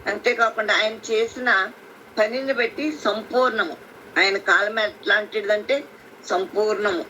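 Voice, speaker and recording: Woman preacher on a phone line, bright tone, explains slowly with many restarts. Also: medium pitch, fairly even intonation. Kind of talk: Bible teaching on a prayer call. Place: conference call. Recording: phone line with faint hiss.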